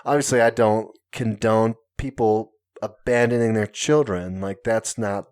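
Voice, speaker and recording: A low-voiced man co-hosting a podcast, talking through a long story in a casual, unhurried way. He often pauses to hunt for the right word and laughs lightly at himself along the way. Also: steady tone, darkish tone, clear, resonant tone. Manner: storytelling at length, searching for words, chuckling now and then. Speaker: podcast co-host.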